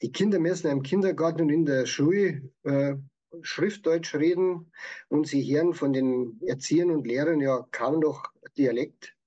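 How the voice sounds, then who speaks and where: A man's voice: fairly high pitch, moderate pace, mellow, highs cut off. A man with a Bavarian accent, explaining things in prepared answers as an author being interviewed. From Bavaria, Germany. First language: Bavarian dialect.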